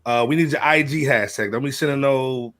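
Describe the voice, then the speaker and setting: A man's voice: medium pitch, fairly quick, a bit bright. A man hosting a casual hip-hop podcast, talking in an informal, slangy, joking way. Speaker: podcast host.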